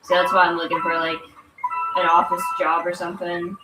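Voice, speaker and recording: Woman, game streamer, stuffy congested voice, informal rambling chat, roomy echo, loud music in background. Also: lowish voice, completely flat delivery, fairly quick. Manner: rambling, informal, filler-heavy "like". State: congested from allergies. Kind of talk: casual chat while gaming. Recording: echoey room, distant mic, loud music. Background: loud video game music.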